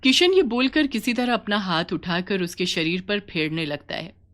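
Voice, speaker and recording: Lively woman narrating a children's story. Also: medium pitch, normal speed.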